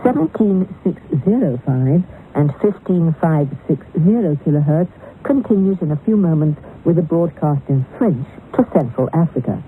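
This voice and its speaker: A woman's voice: low voice, animated, normal speed. A woman radio announcer reading a scripted shortwave broadcast sign-off in a formal presenting style. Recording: tinny, noisy 1980s shortwave radio.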